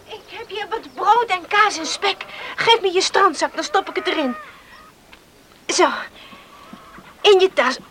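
A woman's voice: extremely high pitch, lively intonation, measured pace, long pauses, noisy background. An actress playing a caring, inquisitive village girl in a Dutch radio play. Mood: fondly concerned.

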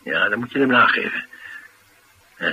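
An actor playing a rough but loyal bodyguard and driver in a naturalistic radio drama, with a gruff, plain-spoken, slangy way of talking. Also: male voice, on the low side, lively intonation, moderate pace, dull audio. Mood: grudging respect.

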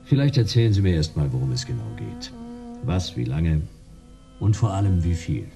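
Stranded gumshoe for sci-fi radio play: very low, creaky voice, laconic and sardonic. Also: male voice, very animated, normal speed, music in the background, exaggerated voice. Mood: guarded, dry. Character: weary, sardonic male private detective, broke and stranded. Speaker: narrator and lead character. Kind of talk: hard-boiled detective radio drama narration and dialogue.

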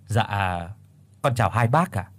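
Guy voicing a nervous polite young dude, mumbly, low and hushed, husky. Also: expressive, unhurried. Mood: timid. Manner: hesitant, stammering, soft and low. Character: timid humble young man, stammering.

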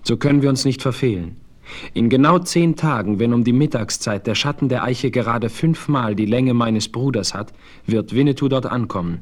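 A man plays a solemn Apache chief in a western radio play. His medium-pitched voice is formal and ceremonious, full of dignity. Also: normal speed, close to an everyday voice.

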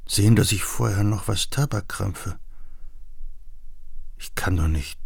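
A man reading literary narration for a radio play in a measured, deliberate manner. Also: fairly low pitch, gravelly, breathy edge, no room sound.

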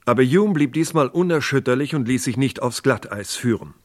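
A man narrating a scripted German radio crime drama in a measured, factual manner. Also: medium pitch, lively intonation.

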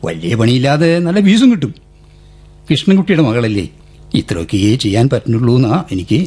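An actor playing a patronising middle-aged senior man in a radio drama, coaxing and condescending, with a husky voice. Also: mid-range voice, very animated, brisk, very noisy, natural voice. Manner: condescending, coaxing, swooping intonation. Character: patronising senior man handing out petty legal work.

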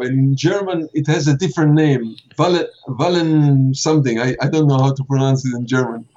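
A man's voice: mid-range voice, warm tone. An older man from Poland, answering interview questions in a rambling way, repeating himself and chuckling often. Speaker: film director interviewee. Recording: muffled lo-fi interview recording.

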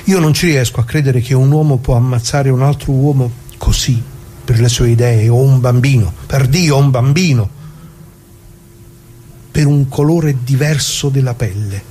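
Male actor as elderly labourer, soft dark timbre, colloquial and halting, dramatic monologue over noticeable background noise. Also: mid-range voice, natural voice. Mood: anguished disbelief. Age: older. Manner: colloquial, halting, directly addressing listeners. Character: elderly working-class man from Brescia, plain-spoken bombing survivor.

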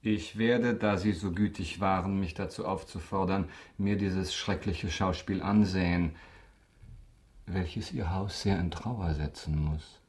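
Radio drama lead: calculating aristocrat, low husky voice, courteous, deliberate, quietly insinuating. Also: male voice, fairly even intonation. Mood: courteous, grave. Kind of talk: German radio drama acting.

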